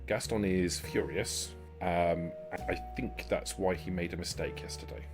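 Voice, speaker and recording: A man playing a shrewd, self-serving vampire negotiator in tabletop roleplay, speaking formally and deliberately with halting pauses. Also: sits low, over music. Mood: grave, explaining. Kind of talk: in-character tabletop roleplay dialogue.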